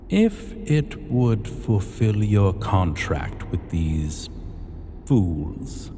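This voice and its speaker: A man playing an imperious, possessive dragon king in an ASMR fantasy roleplay, seductive and menacing, with a formal, commanding and deliberate delivery. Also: on the low side, warm tone, full character voice. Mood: proud, conceding. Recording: long cavernous reverb, clear background noise.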